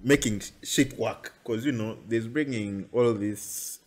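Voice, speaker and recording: A man's voice: fairly low pitch, measured pace, darkish tone. A young man, chatting casually with friends and leaning on "you know" fillers. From Uganda. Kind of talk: casual chat.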